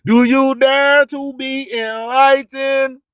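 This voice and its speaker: A man with a strikingly high voice hosts a radio show in a dry, close sound. He speaks slowly and emphatically, preaching in streetwise slang and repeating rhymed motivational slogans for weight. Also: level tone, fairly bright tone, no echo. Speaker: radio host.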